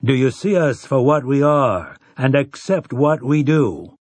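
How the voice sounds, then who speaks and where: A man's voice: medium pitch, animated, a little slow, darkish tone, dry recording. A man voicing an earnest frontier villager who calls out ceremonial, archaic questions and thanks.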